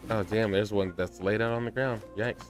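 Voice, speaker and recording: A man's voice: on the low side, fairly even intonation, moderate pace. A man giving casual, laid-back commentary as he plays a game, thinking aloud as he goes. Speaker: gaming video creator. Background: game music.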